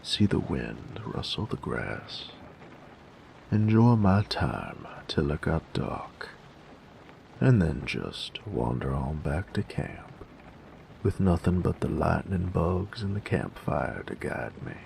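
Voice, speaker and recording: A man playing a gruff, jaded drifter bandit in a roleplay audio drama, with a gravelly voice and a sardonic, folksy drawl. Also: sing-song, takes it slowly, a little mellow, a little hiss or hum, full character voice. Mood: wistful. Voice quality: gravelly, very low voice. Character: gruff, jaded male bandit who grew up drifting with a carnival.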